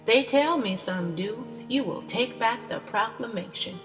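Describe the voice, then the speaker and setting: A woman's voice: on the high side, animated, a little slow, fairly bright tone. A woman playing an earnest, insistent mother of a Civil War soldier, reading her plain-spoken letter as drama. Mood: pleading, firm. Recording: long reverb, clear music behind.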